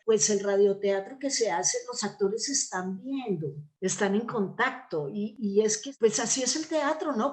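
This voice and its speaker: A woman's voice: medium pitch, a little mellow. An older woman with a Colombian accent, talking reflectively in an interview, explaining things and often checking for agreement with short tag questions. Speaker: interview guest, theatre actress and playwright.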